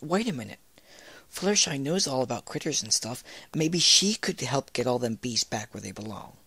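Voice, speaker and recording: A man's voice: medium pitch, animated, moderate pace, pushed and bright, husky, no echo, exaggerated voice. A man voicing curious, blunt young girl ponies in a fan fiction reading, eager and plain-spoken. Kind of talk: fan fiction read aloud in character.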